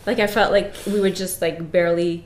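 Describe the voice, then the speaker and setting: Female guest, lowish voice, casual and hesitant, roomy echoing sound. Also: fairly even intonation, brisk. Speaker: guest looking back on her flight. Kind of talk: casual chat. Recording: echoey room.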